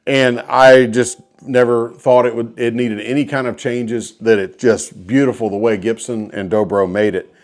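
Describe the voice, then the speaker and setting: A man's voice: medium pitch, fairly even intonation, normal speed, few pauses. A man with an American accent telling a story casually to viewers in a folksy, enthusiastic way, as the host of a guitar video.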